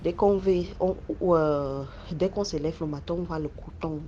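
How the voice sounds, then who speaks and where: Halting man. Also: on the high side, steady tone, warm tone. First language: English. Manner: halting, searching for French words, many restarts. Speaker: story contributor. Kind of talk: telling a personal spooky story. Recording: dull lo-fi sound with faint noise.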